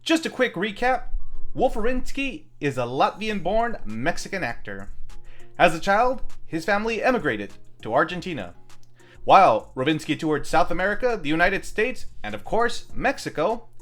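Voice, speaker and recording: A man's voice: sits high, sing-song, moderate pace, fairly bright tone, soft background music. A man hosting a film review, reading scripted narration with playful, wry asides. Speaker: host of a film review show.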